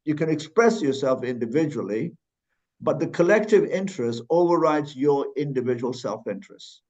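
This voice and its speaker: Malaysian-born guy on a podcast, thinks out loud, lots of uh and restarts, kinda muffled lo-fi audio. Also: on the high side, lively intonation, moderate pace. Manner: thoughtful, many restarts and fillers. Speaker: guest. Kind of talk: conversational interview.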